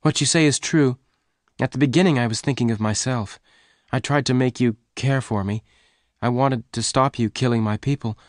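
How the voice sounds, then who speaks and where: A man voicing a gentle, pleading young woman, his voice lifted higher. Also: moderate pace, no room sound, clean studio sound, close to an everyday voice. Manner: raised, pleading voice.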